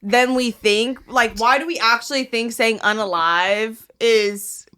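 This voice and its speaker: A woman's voice: a little high, a little slow, a bit bright, clean tone. A woman co-hosting a podcast, swearing freely and teasing her friends as she tells stories in casual chat, acting out quotes as she goes. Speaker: podcast co-host.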